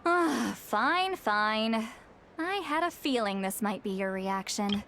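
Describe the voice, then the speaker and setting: An actress voicing a mischievous sorceress who calls herself old Granny, speaking in a dry, teasing, theatrical way. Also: female voice, fairly high pitch, lively intonation, takes it slowly, a bit bright, slight background noise, exaggerated voice. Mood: wry. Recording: game soundtrack music behind.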